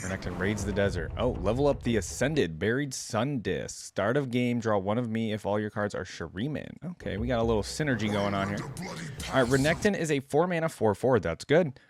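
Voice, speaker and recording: A man's voice: fairly low pitch, lively intonation, fairly quick, noisy background. A man giving casual reaction commentary as host, talking in an informal, slangy way and often exclaiming or musing aloud.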